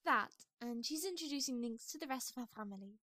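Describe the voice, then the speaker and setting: A woman's voice: a little high, lively intonation, fast talker, sharp tone, no room sound, clean studio sound. A woman playing a refined, gossipy female pony who frets over her friend in an amateur audio drama. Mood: confiding.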